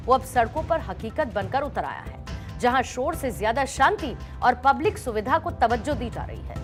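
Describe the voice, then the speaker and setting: A woman's voice: high voice, a bit bright. A woman reading scripted broadcast news as an anchor, with emphatic, presentational delivery. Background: background music under the voice.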